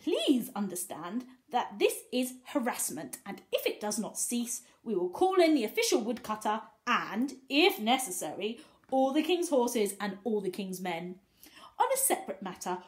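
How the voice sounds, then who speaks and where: A woman reading a picture book aloud to young children, expressive, pausing to explain and ask simple questions like a teacher. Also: medium pitch.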